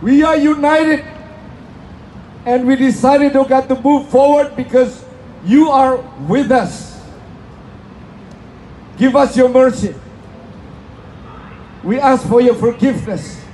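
A man with an Indonesian accent preaches and prays in broken English at an outdoor plaza rally. His voice sits very high and his pace is slow and deliberate. Faint noise runs under a phone recording. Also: a little mellow, rough recording. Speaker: speaker at a rally. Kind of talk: impassioned rally speech and prayer. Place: outdoor city plaza with a crowd.

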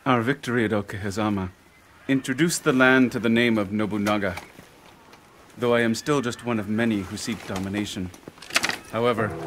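An actor playing a ruthless, ambitious warlord of feudal Japan in a video game cutscene, speaking in a grave, commanding, portentous manner. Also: male voice, medium pitch, lightly acted. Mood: brooding, solemn.

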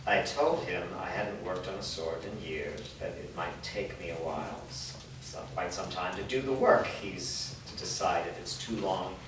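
An actor playing an old village blacksmith in a staged fantasy reading, plainspoken, guarded and defiant. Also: male voice, deep voice, normal speed, few pauses, lightly acted. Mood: guarded, irritated. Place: indoor performance room before a small audience. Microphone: far camera. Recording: echoey hall, distant mic, noisy.

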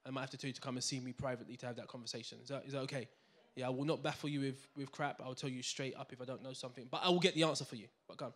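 A man's voice: medium pitch, very fast. A man, giving a finance talk to a live audience on a handheld mic and checking in with them all the time. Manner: animated, checks in with the crowd with "right? yeah?". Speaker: finance speaker. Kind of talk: lively seminar talk to an audience. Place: community hall with audience. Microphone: handheld microphone.

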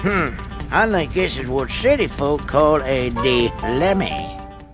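A man playing a friendly, excitable, simple-minded country tow truck with a folksy Southern US accent in a video game. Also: mid-range voice, music in the background. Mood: puzzled. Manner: folksy, drawn-out exclamations.

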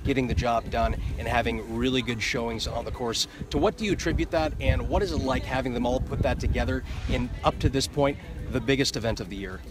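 A man's voice: medium pitch, fairly even intonation, fairly quick, few pauses, far from the mic. Upbeat male sports interviewer, slightly off-mic. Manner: upbeat, formal broadcaster phrasing. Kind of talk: scripted sports interview questions. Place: outdoors on a golf course.

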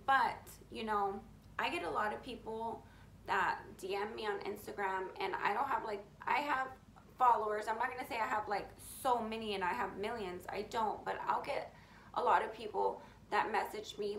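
A young woman vlogs from her bedroom with a deep, raspy, gravelly voice that sits a touch high for her. She talks openly and a bit rambly, leaning on fillers like "you know" and "like". The room rings with echo, over a faint hum of ambience. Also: a bit bright. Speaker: beauty influencer. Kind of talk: candid sit-down vlog to camera. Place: bedroom at home, indoors. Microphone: camera in front at close range.

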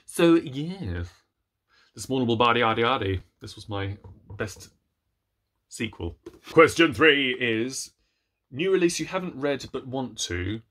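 A man's voice: medium pitch, expressive, measured pace, pauses often, a bit bright. A young adult man chatting casually to camera as a book reviewer, in a hesitant, self-deprecating way. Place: small room at home, indoors.

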